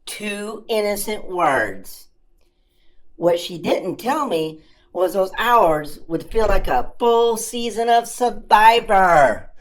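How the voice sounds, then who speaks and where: A woman voicing a cheeky, self-amused puppet comedian who tells stand-up stories with dramatic pauses and exaggerated emphasis. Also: mid-range voice, animated, slight background noise. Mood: mock horrified. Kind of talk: family-friendly stand-up comedy storytelling.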